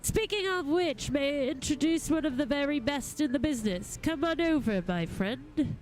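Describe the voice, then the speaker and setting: A woman's voice: high voice, animated, normal speed, a little mellow, voice pushed up. A woman voicing a genteel, theatrical goldsmith lord from a fantasy game, with formal, flowery and precise diction. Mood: jovial. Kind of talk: reading fantasy game dialogue aloud.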